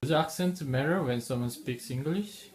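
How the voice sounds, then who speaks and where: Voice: medium pitch, moderate pace, darkish tone. An interviewer asking short set questions. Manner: brief, repeats set questions.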